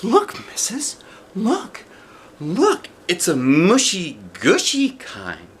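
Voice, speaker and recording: A man voicing an excitable, cocky little schoolgirl who exclaims in childish grammar in a children's storybook. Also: sits high, very slow, slight background noise, voice pushed up, exaggerated voice. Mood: excited, curious. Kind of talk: children's storybook read aloud.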